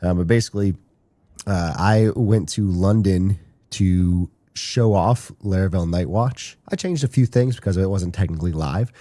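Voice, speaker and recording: A man co-hosting a casual podcast chat while sick, speaking haltingly with frequent pauses and "um"s. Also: low-pitched, mellow, no room sound, studio quality. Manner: hesitant, halting, many "um"s. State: sick, under the weather. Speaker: co-host.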